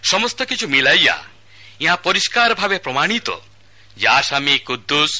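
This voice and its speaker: An actor playing a stern magistrate who reads out the charges and the sentence in formal, old-fashioned court language. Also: male voice, on the high side, sing-song, moderate pace, pushed and bright, heavily acted. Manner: formal, archaic court diction, calls order. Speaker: judge. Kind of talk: Bangladeshi state radio drama acting.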